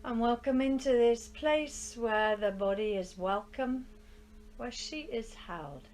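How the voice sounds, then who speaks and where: A woman leading a live guided yoga relaxation in a soothing, unhurried manner, often softening to a breathy near-whisper as phrases end. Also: a little high, poor audio. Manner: soothing, unhurried, with long pauses. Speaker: yoga nidra teacher. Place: rural yoga studio, live group session. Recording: faint background noise with outdoor ambience. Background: birdsong and ambience from outside.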